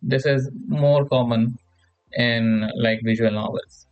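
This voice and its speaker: A man with a mid-range voice explains material like an informal online tutor. He speaks haltingly, circles back over his phrases and keeps checking that the listener follows. The sound is dry and close, slightly dull and lo-fi. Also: medium pitch, steady tone, no room sound, soft background music. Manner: halting, repetitive, often seeks agreement with "right?". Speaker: tutorial presenter. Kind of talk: informal lecture explaining slides.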